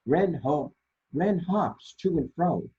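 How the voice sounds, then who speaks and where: A man reading children's picture books aloud as a storytime host, expressively and deliberately, with dramatic pauses and a husky voice. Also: on the high side, lively intonation, warm tone, dull audio, slight background noise. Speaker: storytime reader for a library.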